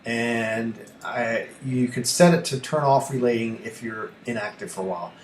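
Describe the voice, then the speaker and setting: A man thinking aloud through a casual screen tutorial as a hobby radio host, halting and muttering to himself as he works. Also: medium pitch. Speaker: amateur radio YouTuber, host. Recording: echoey room with faint background noise.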